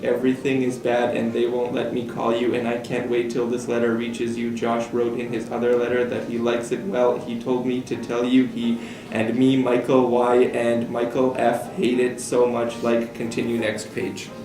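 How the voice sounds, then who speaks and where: A man reading a child's camp letter aloud, stumbling a little over the written words. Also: mid-range voice. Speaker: staff member reading aloud. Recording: echoey room, distant mic, music behind. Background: guitar music.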